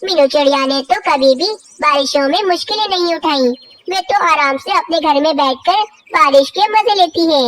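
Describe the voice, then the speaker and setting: A woman's voice: sits high, normal speed, a bit bright, a little hiss or hum. A woman voicing childlike cartoon forest birds in a children's moral story, with exaggerated, simple storybook delivery. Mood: scheming. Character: cartoon forest birds, scheming, envious and put-upon, squeaky and childlike.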